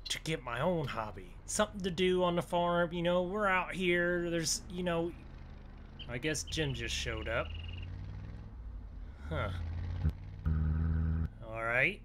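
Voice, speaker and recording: Slow-talking man over loud engine noise. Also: a little high. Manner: rambling, folksy, thinking aloud. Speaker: gaming video host. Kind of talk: improvised roleplay narration as a farmer. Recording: noisy, vehicle engine sounds behind voice. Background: game engine and vehicle sounds.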